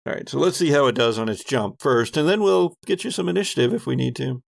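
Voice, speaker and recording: A man running a horror tabletop game as game master, narrating in a deliberate, descriptive way with pauses mid-sentence. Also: mid-range voice, lively intonation, gravelly, no room sound, clean studio sound.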